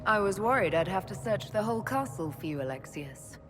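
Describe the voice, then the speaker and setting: A woman's voice: mid-range voice, lively intonation, brisk, close to an everyday voice. A woman playing a resolute young elf mage heroine in a fantasy game, firm and composed. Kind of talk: dramatic fantasy game voice acting. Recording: echoing hall, noisy ambience.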